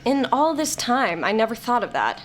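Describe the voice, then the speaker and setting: Actress as a sardonic, high-voiced, very animated woman. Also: normal speed, a little hiss or hum. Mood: wry, resolved. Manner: exasperated, sarcastic. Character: irritable, sardonic old woman restored to a youthful form. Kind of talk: scripted audio drama.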